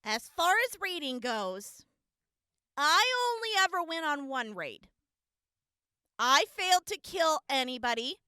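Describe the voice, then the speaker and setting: A woman playing a gentle, earnest young wasteland medic giving courtroom testimony in an audio drama, speaking deliberately with weighty pauses. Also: sits high, sing-song, no room sound, clean studio sound, full character voice. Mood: earnest, confessing.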